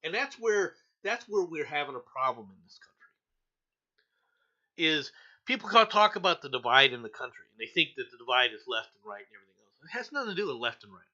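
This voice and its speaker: A man's voice: a little high, sing-song, fast talker, bright, forceful tone, dull audio. A male talk show host giving blunt, opinionated news commentary, repeating himself for emphasis.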